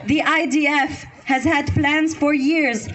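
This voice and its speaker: High-pitched woman from lebanon reading a rally speech outdoors, earnest, a bit of noise behind. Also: steady tone, slow, fairly bright tone. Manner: earnest, listing items one by one. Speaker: rally speaker. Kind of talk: prepared speech read to a crowd. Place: outdoor public square.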